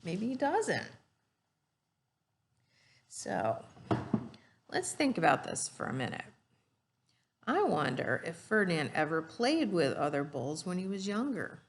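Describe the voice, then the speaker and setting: A woman's voice: lowish voice, wildly swooping pitch, slow pace, vocal fry, long pauses, a little hiss or hum. A woman reading a storybook aloud and teaching children, explaining simply and putting questions to her young listeners.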